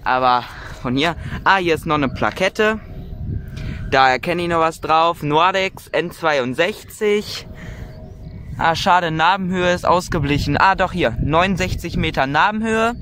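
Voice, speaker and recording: A man with a somewhat high voice talks casually from behind a phone camera, musing aloud with plenty of ums and ahs. He is out on an open hilltop field, and steady outdoor wind and ambient noise sit clearly under his words. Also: measured pace. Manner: chatty, musing aloud with frequent fillers. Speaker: wind turbine vlogger. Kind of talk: casual spoken commentary while filming. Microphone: phone camera mic, speaker behind the camera.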